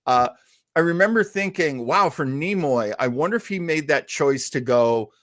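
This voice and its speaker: A man hosting a casual podcast review discussion, talking in a thoughtful, halting way. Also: fairly high pitch, lively intonation, fairly bright tone, dry recording, clean studio sound. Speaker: podcast host.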